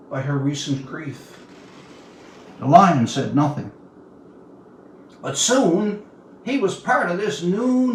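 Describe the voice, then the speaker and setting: A man in his seventies from Newfoundland reads his own literary tale aloud as its author, in a measured, expressive storytelling manner with dramatic pauses. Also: high-pitched. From Newfoundland, Canada. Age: 70s. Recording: roomy, distant mic, faint background noise.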